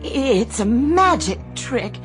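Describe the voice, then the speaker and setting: Nervous doubting wife in a radio play, slow and hesitant, clear music behind her. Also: a little high, sing-song. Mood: frightened. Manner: hesitant, fearful. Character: anxious, doubting, cautious shopkeeper's wife. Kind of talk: radio drama acting.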